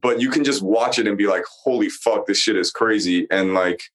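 A man's voice: lowish voice, fairly quick, a bit bright. A man co-hosting a podcast, chatting casually about a TV show, blunt and emphatic with heavy swearing. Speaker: podcast co-host.

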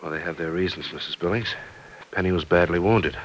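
An actor playing an earnest, protective friend in an old radio drama, speaking gently and hesitantly in plain words. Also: male voice, deep voice, brisk, natural voice. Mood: grave, reassuring. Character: earnest, protective male friend consoling a mother. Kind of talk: 1940s-50s radio drama acting. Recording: noisy old radio recording.

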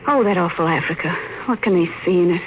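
A woman's voice: fairly low pitch, fast talker, phone-quality sound, slight background noise, lightly acted. An actress playing an anxious, pleading wife and mother in a 1950s radio drama, fretful and theatrical. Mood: uneasy, fretful. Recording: vintage radio broadcast recording.

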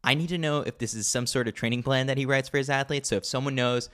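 A man's voice: medium pitch, steady tone, quick pace, a bit bright, clean tone. A man reading a scripted sports newsletter with wry, tongue-in-cheek commentary. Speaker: podcast reader of a sports newsletter.

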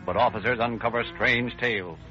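A man's voice: mid-range voice, fairly even intonation, fairly quick. An American man narrating and announcing in formal, polished, declamatory 1930s radio style. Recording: dull old radio recording. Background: music.

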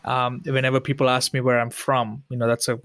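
A man's voice: mid-range voice, little pitch movement, fairly quick. A man from Sri Lanka, a podcast guest, who speaks earnestly and searchingly, repeating phrases for emphasis. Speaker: interview guest. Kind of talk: personal reflective conversation.

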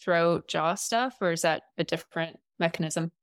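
A woman hosts a podcast in a raspy voice that sits a little low. She asks questions with curious, affirming energy, recorded close and dry. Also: normal speed, dry recording. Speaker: podcast host. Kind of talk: casual podcast conversation.

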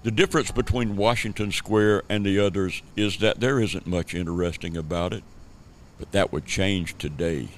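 A man with a lowish voice narrates a radio play in scripted storytelling style. Faint ambient noise sits behind him. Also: normal speed. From Savannah, Georgia, United States.

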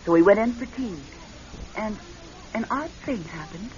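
A woman's voice: mid-range voice, animated, normal speed, a little mellow, natural voice. An actress playing a reserved, polite divorced career woman who also narrates her own story in a classic radio drama style. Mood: reflective narration. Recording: dull, lo-fi old radio recording.

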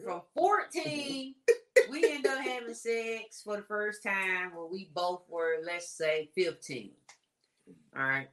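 A woman in her forties co-hosting a casual relationship talk show, explaining her points slowly and laughing often. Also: mid-range voice, fairly bright tone. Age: 40s. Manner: slow, deliberate, laughing storyteller. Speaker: podcast co-host.

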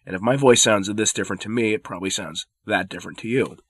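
Low, congested man with a head cold. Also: fast, dry recording, studio quality. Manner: firm, argumentative, occasionally sardonic. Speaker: commentary podcast host. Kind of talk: spoken opinion commentary.

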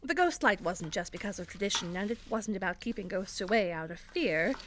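A woman's voice: mid-range voice, expressive, a little fast, a little hiss or hum, close to an everyday voice. A woman playing an exasperated, sardonic theater director in an audio drama, bossy but encouraging. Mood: exasperated, dry. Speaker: actor in an audio fiction podcast. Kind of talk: acted audio drama dialogue.

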